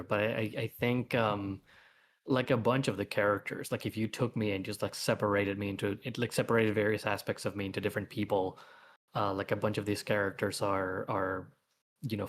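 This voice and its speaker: Male webcomic creator, low voice, rambling, thinking-aloud delivery for a casual livestream chat. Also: normal speed, slight background noise. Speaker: webcomic author, guest.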